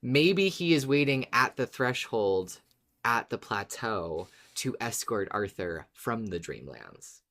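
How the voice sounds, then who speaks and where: Very deep-voiced, expressive woman co-host. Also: slow pace. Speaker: podcast co-host. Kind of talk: casual fan discussion.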